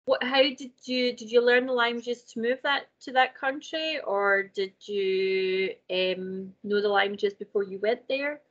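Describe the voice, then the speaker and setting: A woman's voice: fairly high pitch, fairly even intonation, unhurried, few pauses, fairly bright tone, dull audio. A woman hosting an online careers panel, encouraging the guests and leading the questions. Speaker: event host.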